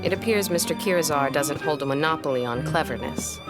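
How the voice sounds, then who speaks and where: An actress playing a reserved, keen-witted historian in a gothic audio drama, speaking formally with a dryly probing manner. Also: female voice, low voice, fairly quick, over loud music, lightly acted. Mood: curious.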